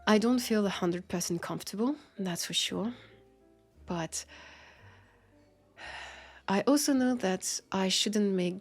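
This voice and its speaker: A woman's voice: mid-range voice, gravelly. A woman with a French accent playing a guarded but friendly vampire police officer in an intimate audio drama, speaking hesitantly and wryly, as if confiding. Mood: uneasy, earnest. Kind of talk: roleplay audio drama, intimate one-sided conversation.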